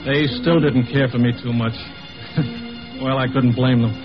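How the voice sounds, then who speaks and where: A man's voice: mid-range voice, steady tone, measured pace, lightly acted. A man acting a plain-spoken army lieutenant from Texas, narrating his war story in a 1950s radio drama. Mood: grim, reflective. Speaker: narrator and lead actor. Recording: dull old radio recording with music.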